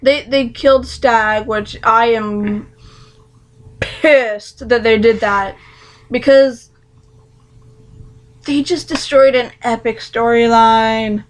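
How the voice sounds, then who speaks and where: A woman's voice: a little high, steady tone, slow pace, pauses often, poor audio. A woman co-hosting a casual show chat, talking with many fillers and restarts. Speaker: co-host reviewing a TV show.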